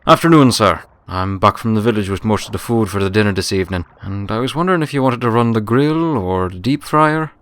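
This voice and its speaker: A man plays a helpful, down-home stallion servant in a fantasy pony tale. The voice is very low and clean, with a folksy, familiar way of talking. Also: brisk, few pauses, a bit bright, dry recording, close to an everyday voice. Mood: friendly, practical. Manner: folksy, plainspoken, deferential but familiar.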